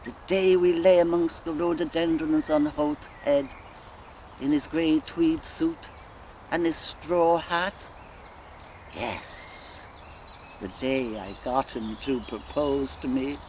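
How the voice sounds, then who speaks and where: An actress playing a sensual Irish woman lost in rapturous memory, in an expressive, musing theatrical soliloquy with an Irish accent. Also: sits low. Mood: tender reminiscence. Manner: musing, expressive, slow and deliberate with sighs. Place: outdoors in countryside woodland. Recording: faint outdoor ambient noise. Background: faint birdsong and nature ambience.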